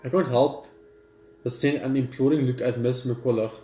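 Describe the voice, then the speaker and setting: A man's voice: mid-range voice, fairly even intonation, moderate pace, warm tone. A man reading story text aloud for a gaming video, sometimes stumbling over the words. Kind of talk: let's play read-aloud. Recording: roomy echo with music behind.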